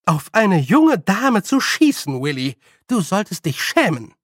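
A man voicing a brisk, bossy, good-humoured old woman witch with a loud, teasing and scolding manner, in an audiobook. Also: sits high, very animated, no echo, studio quality, pitched above the performer's own voice, heavily acted. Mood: stern scolding. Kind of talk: audiobook character voice.

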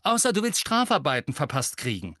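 A man's voice: fairly high pitch, huge pitch swings, brisk, sharp tone, no echo, studio quality, pitched above the performer's own voice, heavily acted. A man voicing a loyal, indignant teenage boy in an audiobook, blunt and pugnacious. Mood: combative. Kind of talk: audiobook character voice.

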